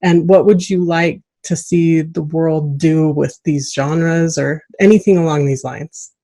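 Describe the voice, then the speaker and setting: A woman's voice: low voice, fairly even intonation, measured pace, dark tone. A woman hosting a podcast, interviewing casually in an agreeable, appreciative manner.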